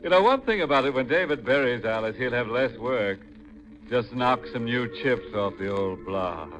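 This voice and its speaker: An actor playing a ghoulish, gleefully macabre horror host on 1950s radio, with a sardonic, drawn-out, punning delivery. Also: male voice, mid-range voice, lively intonation, muffled recording, natural voice. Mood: mocking glee.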